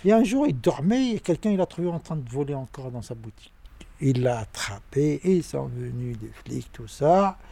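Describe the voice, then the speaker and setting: Husky-voiced older Tunisian man, animated. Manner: animated, halting, with sudden pitch jumps. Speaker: interviewee. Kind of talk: personal storytelling.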